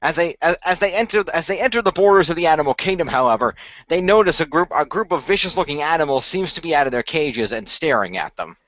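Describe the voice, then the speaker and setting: A man narrating an amateur radio play in a halting way, often repeating the start of his phrases. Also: fairly high pitch, animated, a bit bright, no echo, dull audio.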